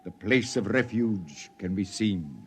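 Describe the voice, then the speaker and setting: A man's voice: fairly low pitch, animated, slow, breathy edge, full character voice. A man playing a dying, wild-bearded old prophet with a solemn, biblical way of speaking in a 1950s radio drama. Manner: solemn, biblical preaching cadence.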